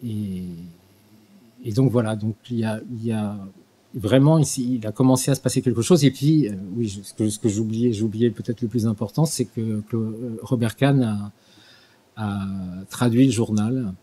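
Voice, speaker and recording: An older man giving an unscripted talk in a small studio at a desk microphone, halting with frequent restarts and fillers. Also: lowish voice, fairly even intonation, mellow, slightly rough. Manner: hesitant, many restarts and fillers. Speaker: guest lecturer. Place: small studio with dark purple backdrop. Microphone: desk mic on stand.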